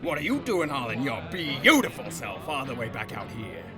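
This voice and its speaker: A man's voice: mid-range voice, wildly swooping pitch, moderate pace. A man acting as a folksy, wisecracking space-western barkeep with a drawling, theatrical delivery. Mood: wry, welcoming. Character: folksy, wisecracking male space-saloon barkeep, showy salesman. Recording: music under the voice.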